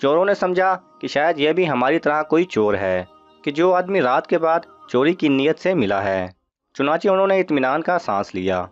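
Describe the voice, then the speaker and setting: A man's voice: fairly high pitch, animated. A man narrating a scripted moral story for children, as a storyteller. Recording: muffled audio with music underneath. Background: music.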